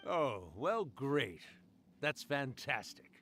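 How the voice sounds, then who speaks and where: A man's voice: medium pitch, very animated, slow pace, fairly bright tone, a little hiss or hum, exaggerated voice. An ancient spirit from a fantasy game, an artist and storyteller at heart. He is wistful and teasing, with theatrical phrasing and a melody that leaps up and down. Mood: wistful, fond. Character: centuries-old male spirit, playful, nostalgic storyteller artist. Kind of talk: video game dialogue acting.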